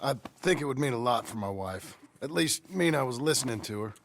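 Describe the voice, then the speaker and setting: An actor playing a humble, gruff cowboy with a rural American accent in a western video game, husky and gravelly, hesitant, polite and plain-spoken. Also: male voice, mid-range voice, animated, a little fast, slight background noise. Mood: polite, subdued. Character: humble gruff cowboy gone straight, seeking a loan.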